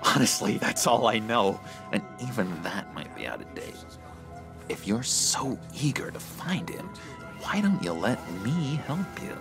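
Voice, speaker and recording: An actor playing an eager, unhinged young schemer in a video game, halting and wheedling with sinister warmth. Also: male voice, mid-range voice, big pitch swings, over music. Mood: coaxing, fond. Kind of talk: video game character acting.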